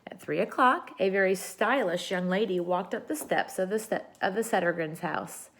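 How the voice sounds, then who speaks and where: A woman reading a children's story aloud with expressive narration. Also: mid-range voice, normal speed. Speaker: storybook reader.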